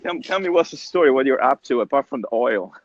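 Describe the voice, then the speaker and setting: A man whose first language is Italian speaks at a middle pitch, eager and a little halting, leaning on fillers and quick laughs. His voice arrives muffled and lo-fi through an app call, with a faint hiss and clicks underneath. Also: expressive. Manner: enthusiastic, halting, many fillers, laughs easily. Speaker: guest, music business lecturer. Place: Dublin, Ireland.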